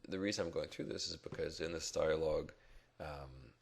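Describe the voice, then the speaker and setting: Very deep, gravelly man thinking aloud. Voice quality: rough, gravelly, husky. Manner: halting, reflective, thinking aloud. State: rough early-morning voice, not yet warmed up. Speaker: stock market blogger and chartist. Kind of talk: casual unscripted market commentary over charts.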